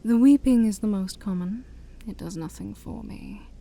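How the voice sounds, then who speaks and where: A woman playing an ancient demon princess, haughty yet shy, in a soft-spoken ASMR roleplay that often drops to a whisper. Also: mid-range voice, normal speed, vocal fry. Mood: curious, haughty. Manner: formal, teasing, hesitant, sighing.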